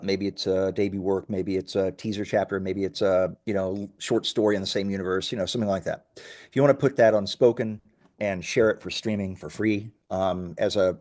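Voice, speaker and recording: Low-pitched man, enthusiastic interviewee. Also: a little mellow. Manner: enthusiastic, emphatic. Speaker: startup founder being interviewed. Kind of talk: conversational interview, explaining a product.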